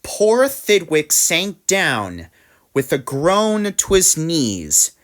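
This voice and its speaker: A woman reads a rhyming children's story aloud in a slightly low voice. She takes her time, phrasing each line deliberately, and her intonation rises and falls with plenty of drama. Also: big pitch swings. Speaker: story reader. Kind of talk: expressive read-aloud storybook narration in rhyme.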